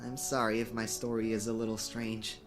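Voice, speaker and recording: A man voicing an awkward, mild-mannered teenage boy in an animated comic dub, halting and apologetic. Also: fairly low pitch, music in the background, close to an everyday voice. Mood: uneasy, apologetic.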